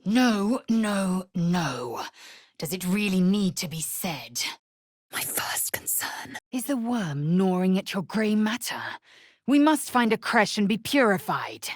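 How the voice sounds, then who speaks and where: A woman's voice: mid-range voice, animated, slow, dry recording, clean studio sound. An actress playing a fierce, proud young alien warrior woman in a fantasy video game, speaking in an imperious, clipped, scornful way with formal phrasing. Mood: scornful, impatient.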